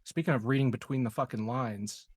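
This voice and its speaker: A man's voice: medium pitch, fairly quick, darkish tone, husky, dry recording. A man chatting casually as a game player, musing aloud with some swearing. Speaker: player in a tabletop roleplaying group. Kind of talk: casual chat.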